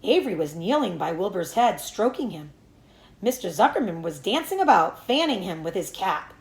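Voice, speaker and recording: Animated woman reading aloud, roomy sound. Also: medium pitch, normal speed. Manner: animated storytelling with acted dialogue. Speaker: teacher reading aloud to pupils. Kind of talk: expressive children's book read-aloud.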